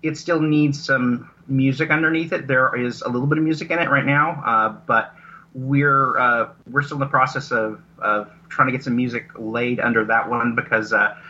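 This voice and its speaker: A man talking casually as an interview guest, explaining his audio drama work in a halting, rambling, self-correcting way. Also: mid-range voice, steady tone, sharp tone. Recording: dull lo-fi connection.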